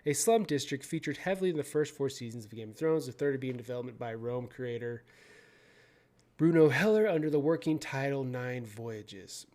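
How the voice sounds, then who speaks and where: A young adult man hosting his own channel, reading news out loud in a casual way, often stumbling over words, swearing and joking. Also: mid-range voice, lively intonation, a little fast. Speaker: video host.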